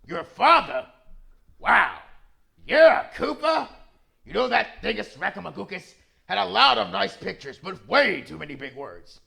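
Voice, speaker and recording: A man voicing a big bullying bulldog gangster from a video game, blustering in drawn-out indignation. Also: high voice, big pitch swings, gravelly, bright, forceful tone, full character voice. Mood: indignant, bullying. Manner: blustering, drawn-out indignant tough-guy talk. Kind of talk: video game villain fan voice-over.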